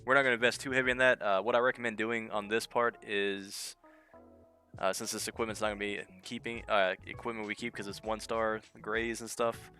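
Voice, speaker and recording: A man's voice: lowish voice, level tone, fairly quick, sharp tone. A young man with a head cold giving casual commentary on a mobile game, thinking aloud as he reads out skill text. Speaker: gaming channel host. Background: game music.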